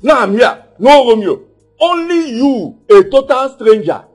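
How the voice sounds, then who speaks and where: A man's voice: very high-pitched, very animated, a little slow, a little mellow, soft background music, heavily acted. A man with a Nigerian accent playing an angry, exasperated husband in a film drama, repeating his demands insistently. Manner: agitated, repeats phrases insistently.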